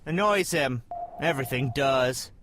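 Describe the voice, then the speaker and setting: A man's voice: mid-range voice, animated, unhurried, noisy background, lightly acted. A man playing a jaded, skeptical soldier on monster watch, dry and dismissive in comic dialogue.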